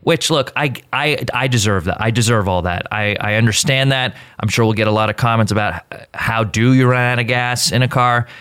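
A man with an American accent, a show co-host, telling a casual, self-deprecating anecdote in a slightly rough voice. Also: mid-range voice, brisk, few pauses, dry recording, studio quality. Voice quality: slightly rough voice from screaming the night before. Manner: self-deprecating, animated retelling with acted-out quotes.

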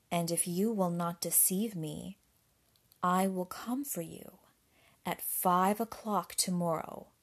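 Woman playing a slow, low, menacing storybook wolf. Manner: drawn-out, looming, coaxing. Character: big bad male wolf, menacing and sly. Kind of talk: children's storybook character voice.

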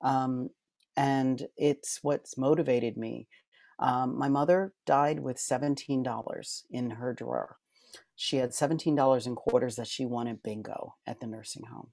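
A man's voice: medium pitch, fairly even intonation, unhurried, gravelly, darkish tone, no room sound. A middle-aged man, a podcast guest and author, talking earnestly and heartfelt, with many fillers and restarts. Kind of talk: reflective interview talk.

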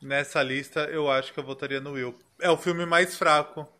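Brazilian guy chatting about movies, easygoing, kinda higher voice. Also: moderate pace. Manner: relaxed, recapping, light laughs. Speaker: podcast co-host. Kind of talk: casual film chat.

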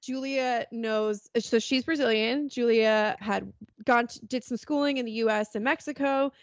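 A woman's voice: a little high, a little slow, no room sound. Chatty woman host, dull lo-fi sound. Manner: laughs often, relaxed and chatty. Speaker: podcast host. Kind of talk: casual podcast chat.

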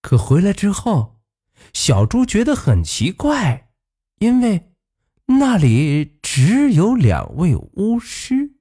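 A storyteller narrating a fairy tale for children in an expressive style. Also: medium pitch, slow pace, husky.

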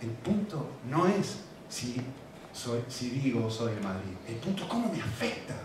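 A man with a Rioplatense Spanish accent preaching a sermon, animated and repetitive, often checking in with questions to the congregation. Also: mid-range voice, unhurried, noisy background. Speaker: pastor. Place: church. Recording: very echoey hall, mic at a distance.

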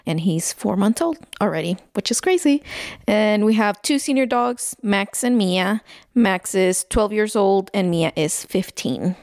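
A woman in her thirties from El Salvador with a Salvadoran Spanish accent, chatting casually about her life with lots of "like" and "um". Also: mid-range voice. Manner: chatty, many "like" and "um", giggles. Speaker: interview guest. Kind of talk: casual storytelling.

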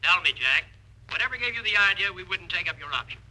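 A man's voice: high-pitched, lively intonation, fast talker, sharp tone, exaggerated voice, rough recording. A man playing a reassuring businessman boss heard through a telephone filter in a 1940s radio comedy.

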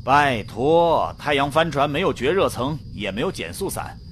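A man plays a skeptical astronaut in a radio play, briskly reading out distances and dismissing wild ideas. His intonation moves a lot. A faint hiss sits behind him. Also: medium pitch, lively intonation, fairly bright tone, lightly acted. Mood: scoffing. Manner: matter-of-fact, dismissive. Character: Manish, calm skeptical male astronaut pilot. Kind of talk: radio drama acting.

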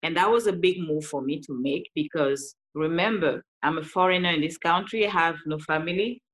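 A woman from Cameroon with a Cameroonian accent, telling her story as an interview guest in an animated way with frequent laughs. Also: low-pitched, moderate pace, poor audio. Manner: animated, laughs often, restarts sentences. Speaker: guest, life coach.

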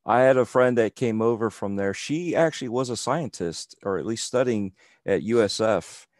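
A man's voice: on the low side, normal speed, darkish tone, no echo, clean studio sound. A man hosting an interview, telling rambling anecdotes full of restarts. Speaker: interview host. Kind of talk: casual interview chat over a call.

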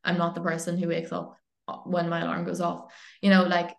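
Group coach, woman, encouraging, steady monotone delivery in an echoey room. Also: on the low side, a little fast, creaky. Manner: affirming and encouraging. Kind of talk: live online group Q+A.

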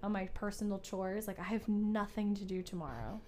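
A woman's voice: mid-range voice, fairly quick, warm tone. A woman in her twenties co-hosting a casual podcast, chatty and laughing often as she tells stories. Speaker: podcast co-host. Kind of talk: casual chat.

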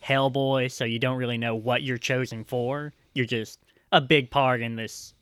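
A man's voice: mid-range voice, level tone, normal speed, a bit bright, clean tone, dry recording. A man playing a tabletop role-playing game and chatting casually with the group.

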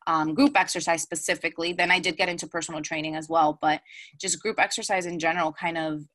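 A woman's voice: on the low side, fairly even intonation, quick pace, a bit bright, no echo. A woman from the Dominican Republic who grew up in the United States, with an American accent, chatting casually as an interview guest. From Dominican Republic, raised in the United States. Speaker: podcast guest, wellness coach and Zumba instructor.

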